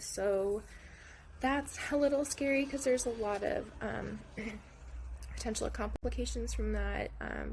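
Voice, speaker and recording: Hoarse, gravelly young woman, halting. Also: mid-range voice, a little hiss or hum. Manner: soft, halting, many ums, clears her throat often. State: hoarse and raspy after neck surgery. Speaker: vlogger sharing her recovery. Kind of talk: casual personal update to camera. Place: at home.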